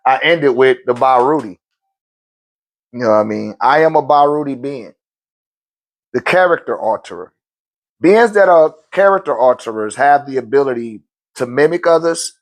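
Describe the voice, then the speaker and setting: A man hosting a spiritual live stream, talking loosely in slang and answering viewer questions in a relaxed, conversational way. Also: mid-range voice, moderate pace, long pauses.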